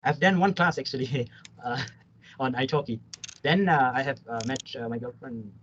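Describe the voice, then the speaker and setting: A man's voice: mid-range voice, moderate pace, a bit bright. A man chatting casually as a language student, explaining his points insistently and repeating himself in non-native English. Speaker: language student in a Tagalog lesson. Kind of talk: casual conversational chat. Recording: muffled call audio, clear background noise.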